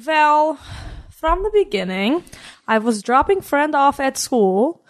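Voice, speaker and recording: A woman's voice: high-pitched, very animated, slow, full character voice. A teenage girl voicing a brash Czechoslovakian woman alter ego, speaking broken English in a put-on Eastern European accent. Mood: playful. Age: teens. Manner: broken English without articles, theatrical. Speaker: guest.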